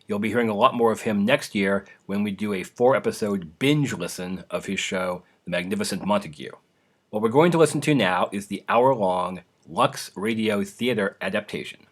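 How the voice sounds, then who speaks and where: Low-voiced man introducing a program. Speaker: podcast host. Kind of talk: explanatory scripted introduction.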